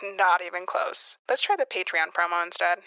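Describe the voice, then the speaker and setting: An actress playing a podcast crew member running the recording, in casual audio drama banter, with music behind. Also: female voice, lowish voice, normal speed, sharp tone, dry recording, rough recording. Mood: wry, brisk.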